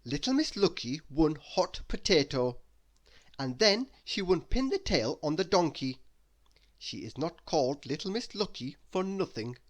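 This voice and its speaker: A man reading a children's story aloud as narrator, expressive and deliberate with dramatic emphasis. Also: fairly high pitch. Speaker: storybook reader.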